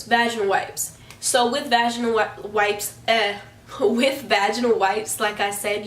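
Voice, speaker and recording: A woman's voice: fairly high pitch, a bit bright, far from the mic, slight background noise. A young woman vlogger, chatting casually to camera. She sounds stuffy from a cold, and she rambles with frequent restarts and fillers. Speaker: beauty and hygiene vlogger. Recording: echoey room, voice a bit off-mic.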